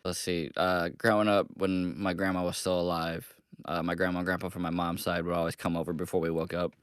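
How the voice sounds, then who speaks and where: A young man co-hosting a casual podcast, relaxed and rambling, a little nasal from a cold. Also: sits low, brisk, clean tone, no room sound, clean studio sound. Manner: relaxed, rambling with many fillers. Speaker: co-host. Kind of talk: casual chat.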